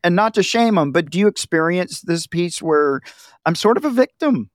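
A man's voice: high-pitched, expressive, normal speed, no room sound. Dry, close-miked male podcast host. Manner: conversational, laughs easily, acts out quoted voices. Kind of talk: podcast interview.

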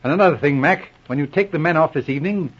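An actor playing a shrewd, self-assured police inspector with a clipped, authoritative manner in a 1940s radio drama. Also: male voice, on the high side, fast, slightly rough. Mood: commanding. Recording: muffled old radio broadcast recording.

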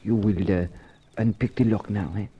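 A man's voice: on the low side, fairly even intonation, normal speed, close to an everyday voice. A shady, cautious foreign crook acted by a man in a 1950s radio thriller, formal and clipped in his phrasing. The old recording is dull, with faint noise underneath. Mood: cautious, conspiratorial. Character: shady foreign male fraudster, cautious yet ruthless. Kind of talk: 1950s radio drama acting.

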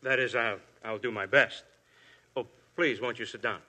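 A bright, courteous police inspector. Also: male voice, medium pitch, fairly even intonation, moderate pace. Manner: formal, courteous. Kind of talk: 1950s radio drama acting.

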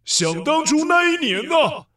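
A man voicing an arrogant, boastful dragon in a children's audio story, with haughty mockery and drawn-out words. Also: very animated, full character voice. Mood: resentful. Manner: haughty, mocking, drawn-out words, booming laughs. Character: arrogant male dragon challenger, boastful and taunting. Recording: echoey, distant voice with music behind.

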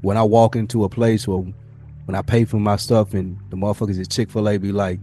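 A man's voice: fairly low pitch, little pitch movement, brisk, warm tone. A man with an American accent in casual podcast discussion as co-host, slangy and repeating his phrases.

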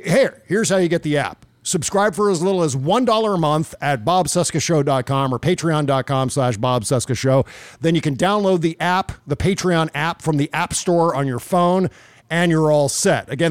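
A man's voice: a little high, moderate pace, dry recording. A man hosting a podcast, talking casually and emphatically about politics, opinionated and given to swearing. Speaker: podcast host.

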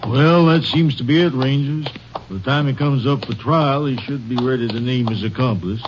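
An actor playing a brisk, confident police chief who talks matter-of-factly in an old-time radio drama. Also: male voice, medium pitch, soft background music, natural voice. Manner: matter-of-fact. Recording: muffled, noisy vintage radio recording.

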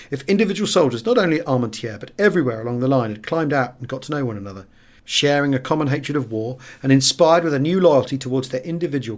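A middle-aged English man reading a memoir aloud as an expressive audiobook narrator. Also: medium pitch. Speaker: audiobook reader.

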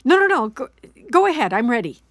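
A woman's voice: sits high, very animated, fairly quick, fairly bright tone, no echo, clean studio sound, heavily acted. A middle-aged woman playing an anxious, apologetic mother who rambles and frets in a naturalistic audio drama. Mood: anxious, relieved. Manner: rambling, fretful, self-blaming.

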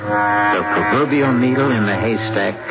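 A man's voice: fairly low pitch, measured pace. A man hosting a mystery radio drama, narrating in a grandiose, portentous manner. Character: portentous male host of a mystery anthology. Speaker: host and narrator. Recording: dull vintage radio recording, loud music behind.

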